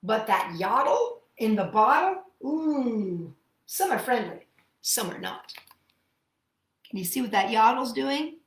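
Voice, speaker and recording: A woman hosting children's storytime, reading picture books aloud and chatting to young listeners in a playful, expressive, sing-song manner. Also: a little high, slow, reverberant.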